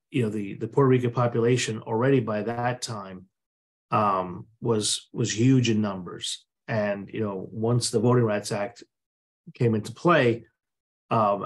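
A man's voice: on the low side, husky. A man from the Dominican Republic speaking conversationally on a video call panel, with many fillers and restarts. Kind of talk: informal commentary in a panel.

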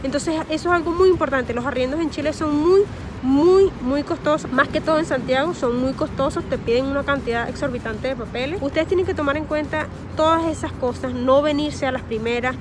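A woman's voice: sits high, a little fast, noisy background. A woman in her thirties with a Venezuelan accent, talking to camera outdoors in a park and earnestly giving advice, often repeating words as she searches for them. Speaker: vlogger. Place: park outdoors among trees. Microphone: phone or camera at close range, face masked.

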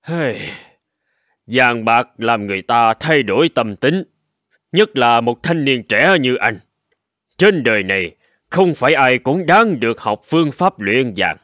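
An actor playing a cunning, haughty old master in an animated folk tale, speaking in a measured, persuasive manner. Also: male voice, medium pitch, dry recording, studio quality. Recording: music bed under the voice.

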